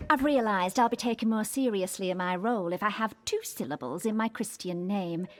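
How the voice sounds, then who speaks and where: A woman's voice: mid-range voice, a little fast, background noise, lightly acted. An actress playing a pompous, pedantic forensics woman in an audio drama, speaking in a precise, formal way. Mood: haughty. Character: pompous, pedantic, self-important female police forensics colleague.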